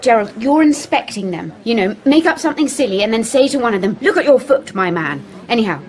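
A woman's voice: on the high side, expressive, quick pace, close to an everyday voice. An actress playing a spirited, outspoken young woman in a period radio drama. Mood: bossy.

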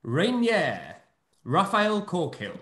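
Male host, high animated voice, brisk introductions, echoey video-call sound. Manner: brisk, announcing names in a lively sing-song. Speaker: host and producer.

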